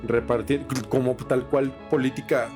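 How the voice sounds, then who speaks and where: A Mexican man hosts a podcast in a casual, explanatory way. His mid-pitched voice is slangy and sweary, with guitar music clearly playing behind him. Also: level tone, measured pace. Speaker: podcast host.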